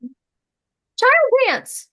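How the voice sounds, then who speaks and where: An actress playing an eager, earnest young schoolteacher in a comedy table read, perky and animated. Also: female voice, very slow. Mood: alarmed.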